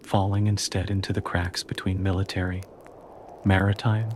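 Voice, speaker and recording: A man reading a calm, scripted history narration meant for sleep, in a slow and measured way. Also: deep voice, a little hiss or hum.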